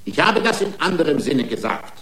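An actor playing an aggrieved, excitable racing driver in a radio drama, pleading his case in indignant, long-winded, formal rhetoric. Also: on the high side, brisk, full character voice, poor audio. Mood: self-pitying. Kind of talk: radio drama acting, courtroom speech.

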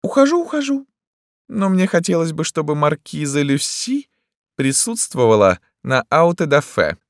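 A man voicing a lecherous, pompous emperor in an audiobook, cooing and grandiose, with drawn-out exclamations. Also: a little high, very animated, dry recording, clean studio sound, pitched above the performer's own voice. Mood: petulant. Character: theatrical lecherous emperor, wheedling, grandiose, gallant and menacing.

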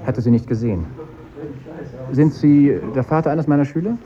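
An actor playing a younger schoolmaster, polite and slightly pompous, with clipped formal speech in a 1950s German radio play. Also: male voice, medium pitch, normal speed, over a noisy crowd, close to an everyday voice. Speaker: teacher. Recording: dull old radio recording, noisy classroom.

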